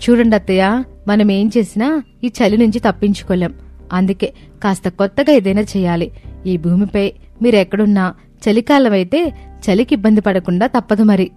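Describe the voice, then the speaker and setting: A brisk young daughter-in-law acted by a woman from a cartoon tale. She is practical and emphatic, mid-pitched, with music playing underneath. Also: warm tone, lightly acted. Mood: reassuring. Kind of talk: cartoon story dialogue.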